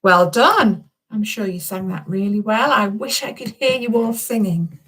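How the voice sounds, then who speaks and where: Slow-paced woman reading to young children. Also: mid-range voice, slightly rough. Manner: chatty, expressive, addresses young listeners directly. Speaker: author reading her picture book aloud to children. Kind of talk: animated children's story reading with singalong.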